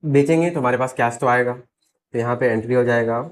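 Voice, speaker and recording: An Indian man gives a lesson in a medium-pitched, slightly hoarse voice. He explains like a teacher, one step at a time, and often poses a question before answering it. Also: fairly quick, a little hiss or hum. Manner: step-by-step, checks in with rhetorical questions. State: slightly hoarse, sore throat. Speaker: accountancy teacher. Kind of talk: explanatory lesson mixing Hindi and English.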